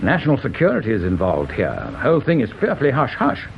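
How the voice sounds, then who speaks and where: An actor playing a senior police chief in a radio drama, formal and pompous, with an upper-class English accent. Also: male voice, huge pitch swings, fast talker, vocal fry, slight background noise. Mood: stern, secretive. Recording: dull rumbly old radio recording.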